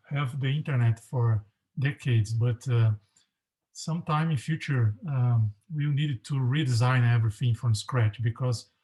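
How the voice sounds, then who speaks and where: Mellow-voiced professor, measured speech. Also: male voice, medium pitch, rough recording. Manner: measured, hesitant with many fillers. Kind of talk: panel discussion.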